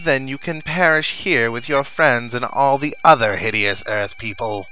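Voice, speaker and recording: A man's voice: mid-range voice, moderate pace, sharp tone, natural voice, rough recording. Man putting on a menacing, declamatory alien overlord. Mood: scornful menace. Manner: declamatory, commanding. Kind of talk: reading video game dialogue in character. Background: video game music and sound effects.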